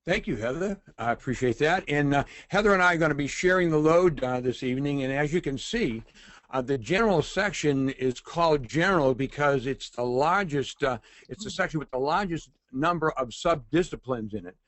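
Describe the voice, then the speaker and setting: A man in his sixties with a Boston accent, presenting a webinar informally and haltingly, with frequent hesitations and repeats. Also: a little high, animated, a bit bright, no room sound. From Boston, United States. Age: 60s. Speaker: webinar host, retired agent and forensic lecturer.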